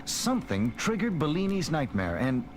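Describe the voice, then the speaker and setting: A man's voice: fairly high pitch, huge pitch swings, normal speed, slight background noise. A man acting in an audio drama as a decisive space base commander, with authoritative, clipped delivery. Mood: brisk, serious. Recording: loud dramatic music and engine effects behind.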